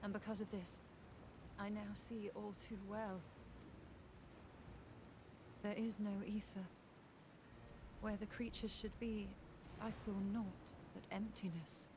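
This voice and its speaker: An actress playing a grave, knowing sorceress in a video game, speaking in measured, archaic, formal phrases. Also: female voice, mid-range voice, level tone, background noise. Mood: grave, somber. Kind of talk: dramatic video game voice acting. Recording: music behind the voice.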